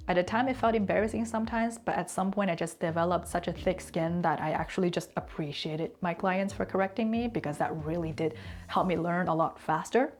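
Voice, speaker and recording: A woman whose first language is Chinese, giving an earnest, reflective talk on consistency and slowing down to stress key words. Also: lowish voice. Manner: conversational asides and fillers. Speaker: language and culture teacher.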